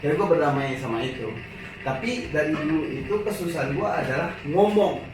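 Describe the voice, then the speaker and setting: A man from West Sumatra with a Minang accent, a guest chatting casually and telling his career story in lively slang. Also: a little high, fairly quick, noisy background. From West Sumatra, Indonesia (Padang). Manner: animated storytelling in slangy speech. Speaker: guest, actor and radio host. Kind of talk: casual chat. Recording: echoey room, far from the mic, with music.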